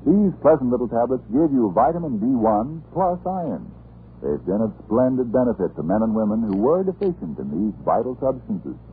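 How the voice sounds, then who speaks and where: A man announcing a scripted 1930s radio program in a deliberate, dramatic manner. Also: mid-range voice, slight background noise. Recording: muffled 1930s radio recording with music.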